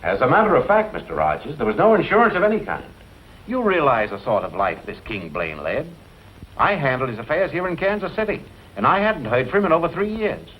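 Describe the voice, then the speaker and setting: An actor playing a courteous, talkative city attorney in an old film, speaking formally and precisely. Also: male voice, fairly high pitch, sing-song, brisk. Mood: formal, regretful. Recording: muffled, noisy old film soundtrack.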